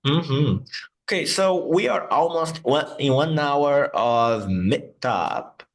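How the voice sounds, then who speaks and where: A man whose first language is Portuguese, teaching English and slowly repeating words to model their sounds. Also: fairly high pitch, expressive. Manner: slow, patient, repeats words to model pronunciation. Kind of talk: online English lesson.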